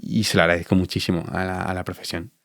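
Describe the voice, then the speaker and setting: A man in his thirties with an Andalusian Spanish accent, answering interview questions in a reflective, halting way with many fillers. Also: low voice, little pitch movement, vocal fry, no echo, studio quality. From Spain. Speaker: dentist guest.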